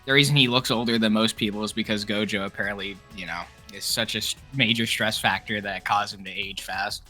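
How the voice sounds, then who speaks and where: A young man chatting casually as a co-host, excitable and slangy, swearing freely. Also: lowish voice, brisk. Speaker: co-host ranking anime characters. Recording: music playing behind the voice. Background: music.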